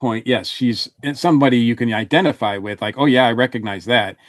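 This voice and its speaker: A man talking casually as a podcast panelist, giving an animated review and acting out imagined quotes. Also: mid-range voice, a little fast, no room sound, studio quality.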